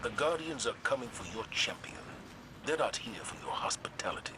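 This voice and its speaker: A man voicing a stern, steady military commander in a video game, firm and clipped. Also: medium pitch, fairly quick, background noise, lightly acted. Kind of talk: video game cutscene acting.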